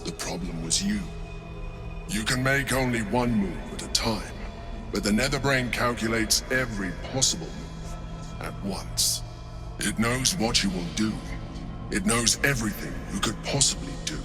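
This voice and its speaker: Casting: actor for calculating male mind flayer, game drama, deep-leaning, slow, commanding, patient. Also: music in the background, natural voice. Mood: cold insistence. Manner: measured, superior, deliberate. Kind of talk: video game dramatic acting.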